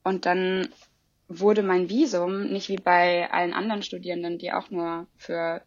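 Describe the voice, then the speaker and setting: A woman's voice: on the low side, level tone, unhurried. A woman in her twenties chatting in an interview, rambling with many fillers and restarts. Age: 20s. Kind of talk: casual interview chat. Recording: dull lo-fi dry sound.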